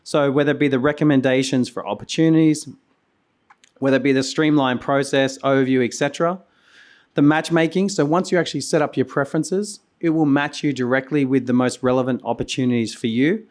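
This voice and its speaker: Hoarse male conference host, mid-pitched, rambling keynote with restarts and coughs, voice going. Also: brisk, darkish tone. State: hoarse, losing his voice. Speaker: conference host and company founder. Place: conference venue.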